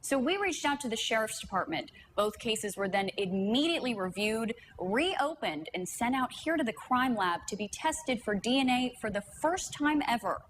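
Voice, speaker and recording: A woman's voice: fairly high pitch, animated, normal speed, hardly any pauses, a bit bright. A woman with an American accent delivering an on-air report as a television news reporter. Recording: clearly noisy on-air report.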